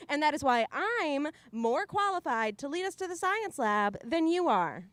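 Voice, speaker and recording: High-voiced, animated wisecracking teenage girl. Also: moderate pace, no echo. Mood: cocky. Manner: chatty, sarcastic, dramatic. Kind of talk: science fiction radio drama acting.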